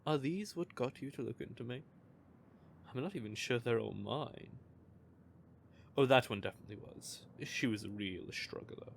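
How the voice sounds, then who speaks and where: A man's voice: mid-range voice, moderate pace, gravelly, slight background noise. A man playing a smug, teasing male vampire who is playfully menacing, in an audio roleplay.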